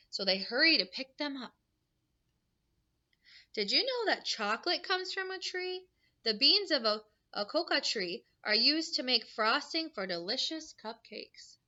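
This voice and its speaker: A woman's voice: high-pitched, bright, forceful tone, dull audio. A woman teacher reading a picture book aloud to young children, adding simple explanations and questions in an instructive way.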